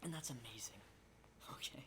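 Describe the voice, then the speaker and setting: A man's voice: mid-range voice, lightly acted. Whispering, jittery male apocalypse survivor acted by a man. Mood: awkward, relieved. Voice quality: breathy whisper. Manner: halting, repetitive, insistent, often checking with "okay?". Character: jittery, hardened male zombie apocalypse survivor, socially rusty. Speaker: ASMR roleplay performer. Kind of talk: whispered ASMR roleplay monologue. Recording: clearly noisy close whisper recording.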